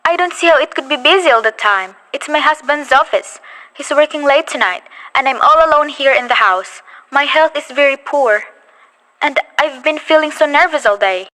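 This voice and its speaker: A nervous, demanding wife, alone in her house at night, played by a young woman, with a high, fretful voice that keeps pressing and insisting. She frets and scolds in a student radio play. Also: expressive, normal speed, sharp tone, low-quality recording. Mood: anxious.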